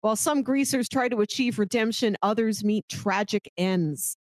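A woman's voice: mid-range voice, normal speed, no echo. A woman co-hosting a podcast, chatting casually about movies. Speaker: podcast co-host. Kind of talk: casual movie discussion with some read-aloud synopsis.

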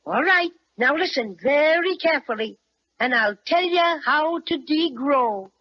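An actor voicing a small, gruff but kindly talking bear in an old children's radio serial. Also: sits high, animated, a little slow. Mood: helpful, boastful. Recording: dull old radio recording.

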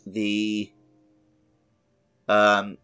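A man's voice: on the low side, completely flat delivery, drawn-out words, pushed and bright, smooth. A man chatting casually as host of a gaming podcast, with a blunt, opinionated and sweary manner. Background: background music.

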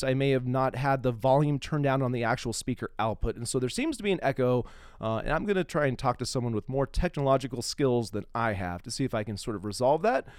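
A man's voice: mid-range voice, smooth, no echo, studio quality. A middle-aged man hosting a podcast, shifting between dramatic reading and casual talk. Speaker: podcast host.

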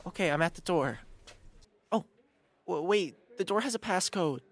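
A woman's voice: on the low side, lively intonation, moderate pace, natural voice. A woman playing a timid but eager twelve-year-old boy in an audio drama, speaking hesitantly with frequent stammers. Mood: anxious, hesitant. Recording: roomy, echoing sound.

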